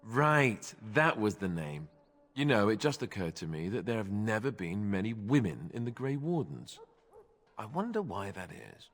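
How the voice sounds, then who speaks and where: A sarcastic young warrior from a fantasy game. His lowish voice swings up and down with glib, joking humor, in a long reverb. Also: big pitch swings, moderate pace, a bit bright, heavily acted. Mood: friendly, teasing. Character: wry, joking young warrior, former templar.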